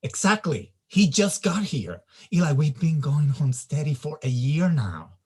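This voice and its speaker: A man performs a jealous, possessive boyfriend in a comedy script read. The voice is husky and very animated in pitch, with a defensive, theatrical delivery. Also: measured pace, full character voice. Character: jealous, insecure, possessive boyfriend with dramatic flair. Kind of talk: screenplay table read.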